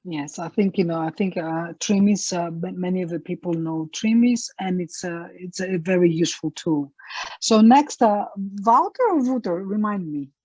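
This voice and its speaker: A woman's voice: lowish voice, normal speed, a little mellow, slightly rough, dry recording. A woman moderates an online panel, her voice dry and dull as it comes through a video call. She halts and repeats herself as she searches for words.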